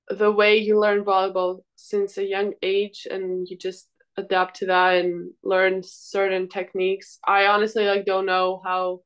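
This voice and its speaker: Young woman, from Greece, slow pace, clear smooth voice, medium pitch, informal hesitant interview answers. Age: young adult. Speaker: volleyball player, interview guest. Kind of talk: casual conversational interview answers.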